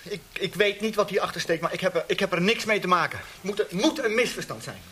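A man's voice: sits high, lively intonation, fast talker, pushed and bright, slight background noise. An actor playing a nervous bank clerk held prisoner in a radio play, hesitant and stammering as he protests indignantly. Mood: desperate protest. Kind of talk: radio drama acting.

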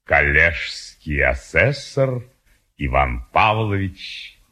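An actor playing a pompous, businesslike government official in a stage comedy, with a husky bass and a weighty, officious manner. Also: male voice, very animated, drawn-out words, bright, forceful tone, full character voice. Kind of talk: classic stage comedy acting.

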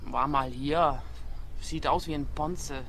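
Tough rude squatter woman, hard bright voice, short combative sentences, bit of background ambience. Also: low-pitched, slow, slight background noise, exaggerated voice. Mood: curt, scornful. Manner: curt, clipped, combative, coarse. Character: abrasive, distrustful young woman squatter from the streets. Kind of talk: radio play acting.

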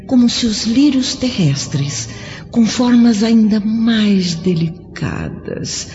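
A woman's voice: low-pitched, warm tone. An actress with a Brazilian accent playing a noble, welcoming female spirit in a solemn, lyrical radio drama. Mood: reminiscing. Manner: slow, serene, lyrical. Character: noble, welcoming female spirit guiding a friend through heaven. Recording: muffled radio drama over music.

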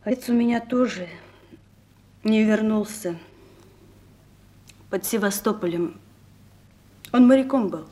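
A woman's voice: mid-range voice, slow pace, long pauses, dark tone, lightly acted. An actress playing an earnest young woman, a fallen sailor's daughter, in a radio play. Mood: earnest, proud. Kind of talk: Russian radio play acting.

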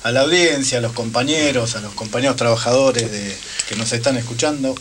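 A man's voice: medium pitch, a little fast, background noise. A man speaking informally as an activist guest on radio. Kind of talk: informal radio greeting and introduction.

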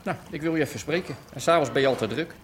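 An actor playing a scheming Rotterdam hustler in a naturalistic radio drama, talking in wheedling, persuasive, colloquial sales patter. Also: male voice, fairly high pitch, racing, a little hiss or hum, lightly acted. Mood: ingratiating. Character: scheming Rotterdam hustler, boxing-school owner, pushy and persuasive.

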